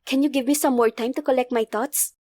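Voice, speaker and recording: A woman's voice: high voice, steady tone, a little fast, warm tone, dry recording. Woman acting a tender, emotional young mother. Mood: pleading.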